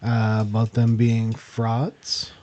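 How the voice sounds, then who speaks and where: Host: mellow, warm-toned man, halting delivery with frequent uhs. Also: medium pitch, completely flat delivery, dull audio. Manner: hesitant, many uhs and restarts. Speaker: podcast host. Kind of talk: casual chat, running the intro.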